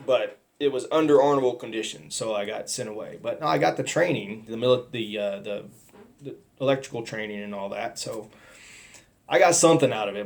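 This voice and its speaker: A middle-aged man giving a personal testimony, talking in a halting, rambling way with many restarts and fillers. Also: on the low side. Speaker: testimony speaker.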